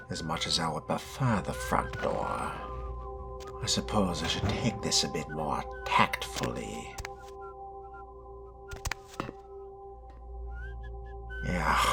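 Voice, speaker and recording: A man playing a suave, wry vampire fledgling in a video game roleplay, speaking in a measured, genteel way with dry amusement. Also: a bass voice, creaky, heavily acted. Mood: musing, wry. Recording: music behind the voice.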